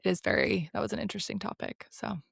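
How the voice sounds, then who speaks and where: Lady on a podcast, tons of fry, kinda low voice, slangy stop-start chat, dry sound. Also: fairly even intonation, no echo. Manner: halting, filler-heavy, slangy conversational delivery. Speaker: podcast co-host.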